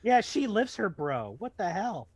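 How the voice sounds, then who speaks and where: A male co-host trades jokes in casual banter. He rambles into comic riffs in a halting way, starting and restarting as he builds the bit. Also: high voice, very animated, husky, no room sound. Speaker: podcast co-host commentator.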